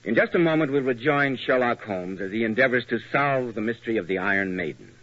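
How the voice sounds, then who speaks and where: A male radio announcer delivering a smooth, persuasive sales pitch. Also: animated, quick pace, fairly bright tone, dry recording, soft background music. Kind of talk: radio commercial. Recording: dull old radio recording.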